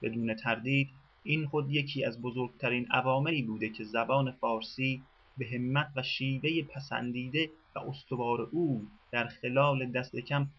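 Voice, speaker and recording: A man reads documentary narration in a measured, formal manner. His voice sits at a middle pitch. The sound is somewhat dull and lo-fi, like an older radio program.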